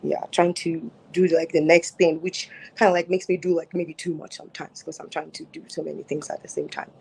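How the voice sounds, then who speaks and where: A woman in her thirties with a Nigerian accent, speaking on a phone from home. Her voice sits a little low, soft-spoken and unhurried, broken up by fillers and restarts. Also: level tone, gravelly, slight background noise, low-quality recording. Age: 30s. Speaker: guest on a dating show. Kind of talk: casual interview answers. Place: home, mid-move.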